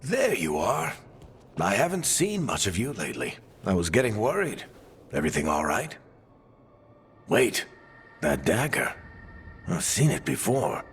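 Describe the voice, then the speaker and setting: A weathered middle-aged companion from a fantasy game, telling things gravely and dramatically. The voice is very low and unhurried, swinging expressively, with music underneath. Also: male voice, wildly swooping pitch, a little hiss or hum, full character voice. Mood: concerned. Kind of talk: video game dialogue and narration.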